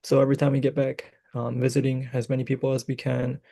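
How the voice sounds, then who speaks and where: A man's voice: mid-range voice, completely flat delivery, quick pace, creaky, warm tone. A young man from Michigan, a graduate student, chatting casually as a podcast guest, hesitant, with many fillers. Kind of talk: casual chat.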